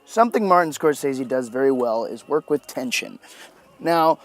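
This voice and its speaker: A man's voice: mid-range voice, lively intonation, normal speed. A man giving enthusiastic film scene commentary as a host, with emphatic, dramatic phrasing.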